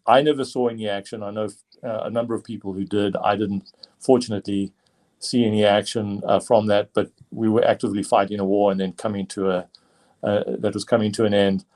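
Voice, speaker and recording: A man in his fifties with a South African accent and a somewhat low voice tells his life story at an easy pace. He talks reflectively, often pausing on fillers and restarting his sentences. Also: darkish tone. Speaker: guest chiropractor. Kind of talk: interview storytelling.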